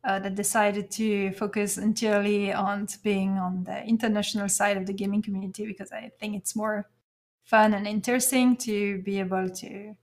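A woman from Belgium, a native French speaker, talking casually as a podcast guest. She speaks soft English with a French-speaking Belgian accent, laughs often and searches for words. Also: mid-range voice, flat delivery, normal speed. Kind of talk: casual interview chat.

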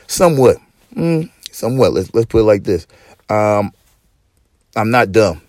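A man hosting a podcast in casual chat, with a slightly raspy voice and loose, profane, joking rants. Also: no room sound, studio quality. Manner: profane, joking rants with big pitch swings. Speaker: podcast host.

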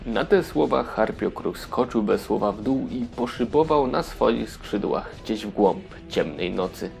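A man's voice: fairly low pitch, lively intonation, measured pace, vocal fry, darkish tone, soft background music. A man narrating a scripted fairy tale for children as the storyteller of an audio play.

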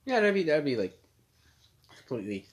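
Deep-voiced young man joking around. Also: very animated, a little fast. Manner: laid-back, joking, swears casually. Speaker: podcast co-host. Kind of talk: casual podcast chat. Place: friend's house.

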